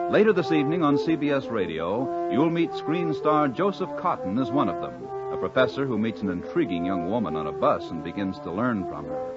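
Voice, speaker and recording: A man reading scripted announcements in the style of a 1950s network radio announcer. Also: fairly low pitch. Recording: dull 1950s radio recording. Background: orchestral music.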